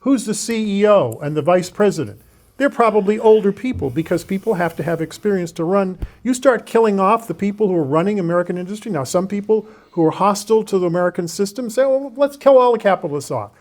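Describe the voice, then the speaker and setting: A man's voice: sits high, animated, darkish tone. A man in his seventies, a guest expert in a studio discussion, talking emphatically and jumping in to argue his point. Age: 70s. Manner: emphatic, argumentative, quick to interject.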